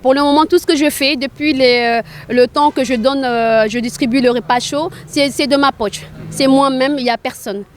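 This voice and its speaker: A woman with a somewhat high-pitched voice gives a spontaneous interview. She speaks earnestly about what she does, often repeating a phrase to stress it. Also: steady tone, normal speed, hardly any pauses. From grew up in Arabia. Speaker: charity volunteer being interviewed.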